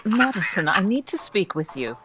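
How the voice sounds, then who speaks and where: A woman's voice: medium pitch, very animated, normal speed, loud music behind the voice, close to an everyday voice. A woman playing a dignified, exasperated Amazon queen with a formal, stern manner in a comedy audio drama. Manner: formal, stern, long-suffering.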